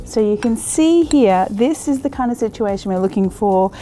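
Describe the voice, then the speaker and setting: A woman's voice: medium pitch, expressive, moderate pace, warm tone, faint music behind. Upbeat Australian woman teaching a recipe. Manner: chatty, encouraging, laughs easily. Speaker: cooking show host. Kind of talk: cooking demonstration.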